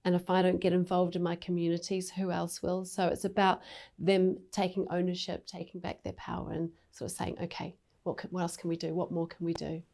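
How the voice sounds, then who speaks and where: A woman's voice: lowish voice, little pitch movement, fairly quick, gravelly, few pauses, mellow. A woman who runs a charity, explaining its work fluently and earnestly in a conversational interview. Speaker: charity CEO.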